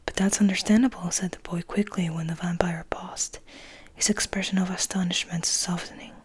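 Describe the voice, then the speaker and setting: A woman reading a book aloud as ASMR in a soft, breathy whisper. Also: on the low side, fairly even intonation, moderate pace. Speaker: ASMR host, reader.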